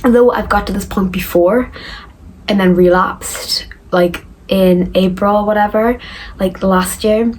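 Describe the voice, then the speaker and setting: A woman's voice: mid-range voice, fairly even intonation, a little slow, darkish tone, a little hiss or hum, rough recording. A young woman from northeast England, chatting casually as she answers viewer questions in a rambling vlog. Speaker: recovery vlogger.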